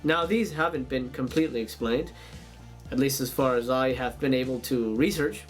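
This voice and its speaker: A man's voice: mid-range voice, normal speed, faint music behind. A man hosting an online show in a casual monologue, with theatrical, rambling showman patter. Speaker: YouTube show host.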